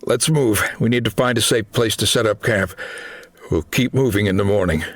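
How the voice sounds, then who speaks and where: A determined squad leader in an audiobook war story , played by a male narrator. He is firm and commanding despite an injury. The voice sits fairly low and the sound is very dry. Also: normal speed, no echo, close to an everyday voice. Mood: determined, tense.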